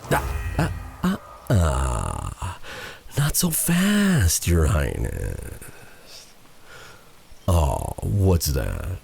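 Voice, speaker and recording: A man playing a vengeful, silky dark sorcerer in a fantasy ASMR roleplay, taunting and theatrical, with breathy dips toward a whisper. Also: extremely low pitch, huge pitch swings, slight background noise, full character voice. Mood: gloating, menacing. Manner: taunting, theatrical, drawn-out delivery with purred endearments.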